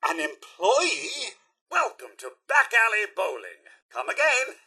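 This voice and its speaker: A prim British robot servant from a video game, with a high voice that leaps up and down theatrically. The tone is piercingly bright yet has a raspy edge. The manner is formal and fussily courteous, with dry sarcasm. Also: male voice, huge pitch swings, takes it slowly, exaggerated voice. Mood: courteous, attentive. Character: prim, fussy, polite British robot butler and attendant. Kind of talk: video game character voice lines.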